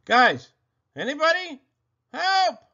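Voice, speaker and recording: A man voicing a frightened little boy who pleads in a high, breathless voice. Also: sing-song, slow pace, bright, forceful tone, full character voice. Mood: pleading. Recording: dull lo-fi sound.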